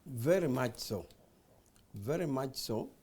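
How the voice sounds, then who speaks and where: A man's voice: medium pitch, lively intonation, slow, darkish tone, husky. A man, a party official on a talk show, defending his side in an insistent, lecturing way. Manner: insistent, lecturing, repeats phrases.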